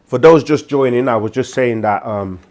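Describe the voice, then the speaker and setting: A man hosting a live question-and-answer stream, speaking with a sore throat and a congested voice, deliberate and full of restarts. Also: mid-range voice, a little mellow. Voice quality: slow, deliberate delivery with frequent restarts. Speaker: host answering viewer questions. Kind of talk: livestream Q&A teaching.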